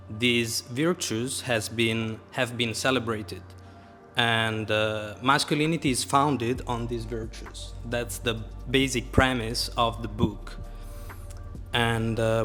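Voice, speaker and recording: A man whose first language is Italian hosts the talk in English, guiding it with firm, coach-like questions. His tone is sharp and bright at a mid pitch. Faint music plays behind him. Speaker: interviewer and host. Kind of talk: casual interview.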